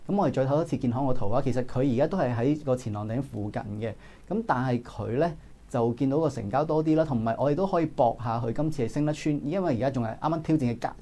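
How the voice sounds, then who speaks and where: Hk cantonese guy in his 30s doing quick stock talk, mellow voice, studio lapel mic. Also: mid-range voice. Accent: Hong Kong Cantonese. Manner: brisk, analytical, frequent filler particles. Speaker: stock market commentator. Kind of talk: financial market commentary. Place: indoor studio.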